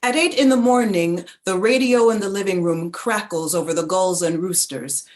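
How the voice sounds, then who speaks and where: Lower-pitched woman reading lyrical prose dramatically. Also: normal speed, a little mellow. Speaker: narrator in a staged reading.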